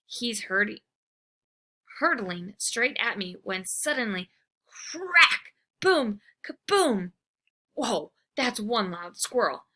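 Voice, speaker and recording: A woman reading a children's book aloud, expressive and animated. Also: a little high, slow. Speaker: storybook reader. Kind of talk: children's read-aloud narration.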